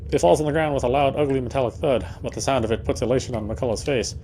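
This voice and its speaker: A man narrates a horror visual novel as he plays, reading dramatically at a quick clip. His voice is medium in pitch, with faint noise and game ambience behind it. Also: warm tone. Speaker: let's player reading game text aloud.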